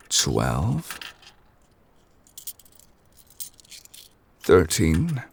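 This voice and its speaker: A man voicing a calm, scholarly, absent-minded ancient god in an ASMR audio roleplay. He speaks close to the microphone in a formal, measured, old-fashioned way and sometimes drops to near whispers. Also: very deep voice, gravelly, husky, exaggerated voice. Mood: musing.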